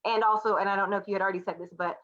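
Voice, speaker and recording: Us woman chatting candidly on a panel, lowish voice, kinda muffled lo-fi sound. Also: steady tone, very fast, fairly bright tone. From United States. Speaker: artist, educator and student panelist. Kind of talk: casual reflective discussion.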